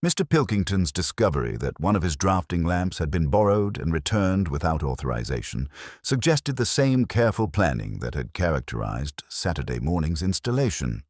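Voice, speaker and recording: A man reading scripted narration for a detective mystery in a formal, measured storytelling manner. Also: deep voice, big pitch swings, darkish tone, dry recording, clean studio sound.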